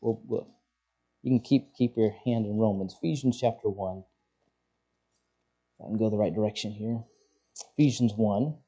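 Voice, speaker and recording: A man preaching an expository sermon, working through scripture as a teacher would, often halting as he searches for words. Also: fairly low pitch, warm tone, breathy edge.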